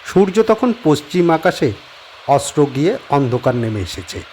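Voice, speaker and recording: A man narrating a horror audio story in a measured storytelling manner. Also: fairly high pitch, big pitch swings, darkish tone, slight background noise.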